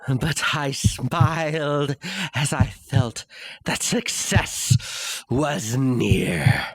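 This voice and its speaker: A man playing an obsessive, unhinged murderer in a dramatic gothic horror reading, halting and theatrical with suspenseful pauses. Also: medium pitch, lively intonation, slightly rough, heavily acted. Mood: grim satisfaction. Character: obsessive, unhinged murderer confessing his crime.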